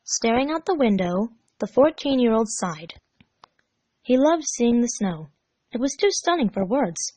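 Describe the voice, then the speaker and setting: A woman reading a scripted story aloud as narrator, measured and expressive. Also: fairly high pitch, no room sound. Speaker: fan fiction narrator.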